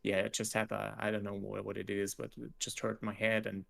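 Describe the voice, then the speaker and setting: A man's voice: on the low side, completely flat delivery, vocal fry, fairly bright tone. A man in his forties from Switzerland, a game developer talking hesitantly in a casual interview over a video call. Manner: hesitant, halting with restarts and laughs.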